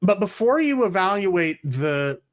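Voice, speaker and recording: A man's voice: sits high, very animated, measured pace. A man hosting a casual theological discussion, putting questions to his co-host and often restarting his phrases. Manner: asks questions, restarts and stumbles over words. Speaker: podcast host.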